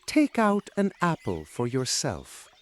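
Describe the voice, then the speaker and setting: A man's voice: medium pitch, wildly swooping pitch, slow pace. A man playing a wicked, scheming stepmother in a fairy tale, commanding and falsely kind. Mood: false kindness.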